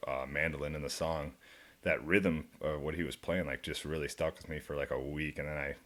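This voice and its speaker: A young man with a deep, low voice, a country musician guest in a studio, talking in a laid-back, rambling way and laughing often. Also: fairly even intonation, a little fast, a bit bright. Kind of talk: casual interview chat. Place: radio studio.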